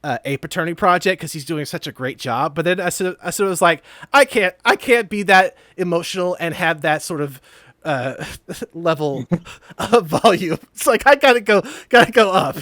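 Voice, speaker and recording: A man's voice: sits high, animated, moderate pace, fairly bright tone, no room sound, clean studio sound. A man chatting casually and playfully as a let's play co-host, rambling as he reacts. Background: game music.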